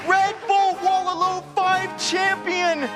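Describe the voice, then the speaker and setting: A woman casting a live game match with excited, fast play-by-play commentary. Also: very high voice. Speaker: esports caster. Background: background music.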